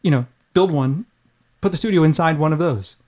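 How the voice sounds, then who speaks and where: A middle-aged man with a high-pitched voice, chatting casually as a podcast host in an irreverent, rambling, casually profane way. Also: fairly quick, a little mellow. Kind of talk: casual chat.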